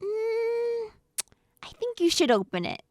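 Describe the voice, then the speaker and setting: A mischievous party god acted by a woman drawls slowly in a very high, swooping voice. The manner is slangy, teasing and laid-back, a young co-host in an amateur audio drama. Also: big pitch swings, a little mellow, full character voice. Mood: egging on.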